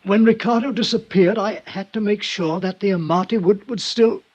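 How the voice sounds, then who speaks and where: An actor playing a nervous, flustered violin maker in a 1950s radio drama, stammering and pleading. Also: male voice, sits high, moderate pace, lo-fi sound. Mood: desperate. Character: nervous middle-aged male violin maker. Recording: old radio broadcast with music.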